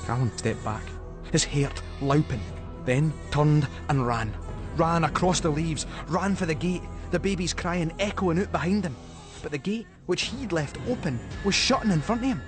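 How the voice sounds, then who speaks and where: A Scottish man narrating a ghost story in Scots dialect, with an expressive, suspenseful storytelling delivery. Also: fairly high pitch, normal speed, over music. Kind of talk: dramatic scripted narration of a ghost story for schools radio.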